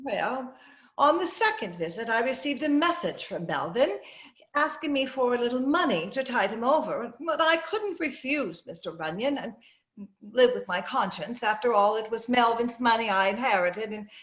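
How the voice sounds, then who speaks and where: A woman's voice: a little high, expressive, moderate pace, fairly bright tone, echoey room. A woman playing a polite, troubled middle-aged wife in an old-time radio drama, hesitant and earnest, on dull video-call audio. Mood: apologetic.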